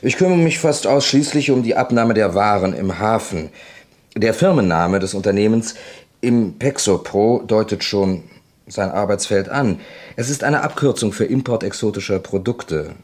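Low-voiced, hesitant, polite son-in-law. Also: male voice, lively intonation, darkish tone. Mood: measured, polite. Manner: halting, measured, deferential. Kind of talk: 1970 German radio play acting.

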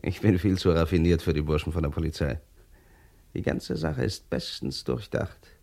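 Cocky killer in a radio crime play, really deep creaky voice, curt, bossy, menacing. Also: male voice, sing-song, normal speed, darkish tone, exaggerated voice. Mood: menacing, boastful. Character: cold, cocky hired-gun killer, brusque and domineering man.

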